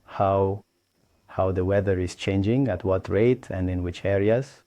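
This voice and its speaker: A man from Tanzania, an engineer, explaining his background and satellites in a halting way, with pauses and restarts. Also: low voice, no room sound, highs cut off. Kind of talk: explaining his work to camera.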